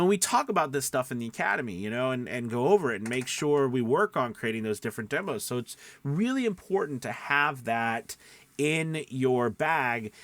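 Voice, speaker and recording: A man with a medium-pitched voice speaks as an informal instructor. He leans on important points, repeats them and tags on little check-in questions. The sound is dry, with hardly any room in it. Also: a bit bright, no echo. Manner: emphatic, repeats key points, checks in with "right?" and "okay?". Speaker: voice-over coach. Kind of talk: informal instructional talk, tips for aspiring voice actors.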